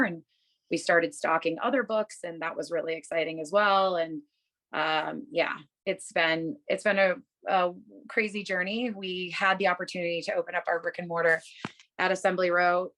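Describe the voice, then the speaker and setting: A woman in her thirties talks casually over a video call from a room at home. Her voice sits a little low, and she answers in an upbeat, rambling way, full of "um" and "you know.". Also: steady tone, moderate pace, a bit bright. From Massachusetts, USA. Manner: chatty, many fillers, upbeat. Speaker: bookstore owner, guest.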